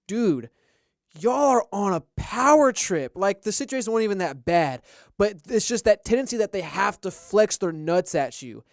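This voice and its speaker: A man's voice: sits high, big pitch swings, moderate pace, dry recording, studio quality. A young man co-hosting a podcast, telling stories in a rambling way and quoting himself as he goes. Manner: rambling, restarts, quotes himself. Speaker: podcast co-host. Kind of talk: casual storytelling chat.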